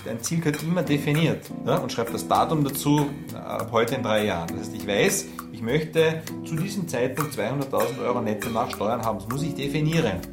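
A man's voice: mid-range voice, animated, a little fast, few pauses. A man giving emphatic, step-by-step instructions in a monologue. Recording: roomy sound with guitar music.

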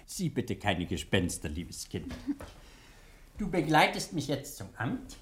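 An actor playing an older, avuncular judge in a 1960 German radio play, fond, soothing and teasing. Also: male voice, medium pitch, huge pitch swings, slow, a bit bright, slight background noise, heavily acted.

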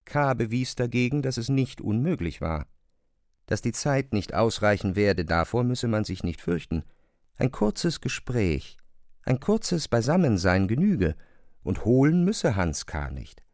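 Expressive male narrator, very dry recording. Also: medium pitch, a little slow, dry recording. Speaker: audiobook narrator. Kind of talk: scripted literary narration.

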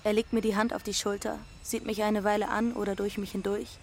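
A woman's voice: mid-range voice, flat delivery, fairly quick, slight background noise, close to an everyday voice. A woman playing a young runaway girl who narrates in a plain, observant way in a radio drama. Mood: observant, calm. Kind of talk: scripted first-person narration.

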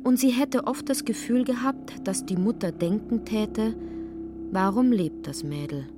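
A woman's voice: mid-range voice, lively intonation, a little slow, music in the background. A woman reading literary narration expressively, slipping into characters' lines. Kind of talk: scripted literary narration for radio.

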